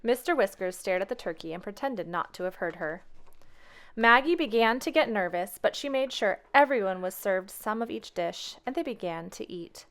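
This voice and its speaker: A woman storyteller reading a children's tale aloud with expressive narration. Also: mid-range voice, normal speed.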